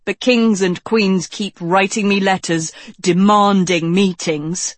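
A woman's voice: medium pitch, steady tone, takes it slowly, no echo. A woman voicing a proud young king in a fantasy audiobook, blunt, defensive and scornful. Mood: dismissive, irritated.

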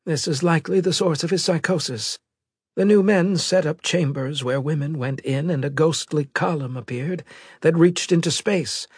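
A man voicing an analytical male psychologist on a military staff, measured and speculative, in an audiobook. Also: a little high, husky, no room sound, clean studio sound, voice pushed up. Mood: thoughtful.

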